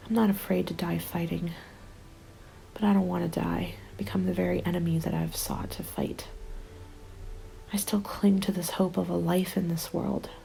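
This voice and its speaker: A woman acts out a weary survivor of the apocalypse keeping a bleak diary. The voice is soft, mellow and gravelly with fry, often sinking into a breathy whisper. The delivery is sombre and resigned, in ASMR roleplay style with music behind it. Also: fairly low pitch, little pitch movement, normal speed, rough recording. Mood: bleak resignation.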